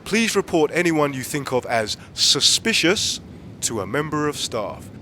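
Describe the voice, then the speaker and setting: A man playing a calm, officious, impersonal railway announcer in a radio play, speaking in a measured way. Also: on the high side, a little hiss or hum, heavily acted.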